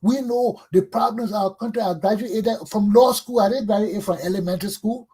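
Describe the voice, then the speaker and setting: A man's voice: high-pitched, moderate pace, mellow, husky. A middle-aged man with a Liberian accent delivers an impassioned, combative political monologue as a talk show host, emphatic and preachy, hammering phrases through repetition.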